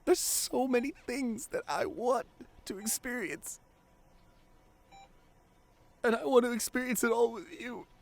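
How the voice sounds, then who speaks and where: A man playing a grieving, devoted boyfriend in an emotional ASMR audio roleplay, pleading haltingly through tears with his voice breaking. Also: very high voice, gravelly, full character voice. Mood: pleading, tearful. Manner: halting, repeats phrases, voice breaking into sobs. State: crying. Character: grieving devoted boyfriend pleading at comatose partner's hospital bedside.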